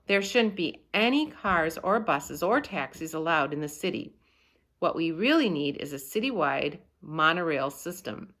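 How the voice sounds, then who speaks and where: A woman's voice: deep voice, expressive, unhurried, a bit bright, heavily acted. Woman reading as an earnest, opinionated storybook schoolboy. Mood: declaring. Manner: emphatic, persuasive.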